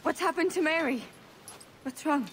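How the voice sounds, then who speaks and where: A woman voicing a weakened, sorrowful young woman in a video game cutscene, halting and emotional, pleading yet resolute. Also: high-pitched, a little hiss or hum, close to an everyday voice. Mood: anguished. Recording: loud music behind the voice.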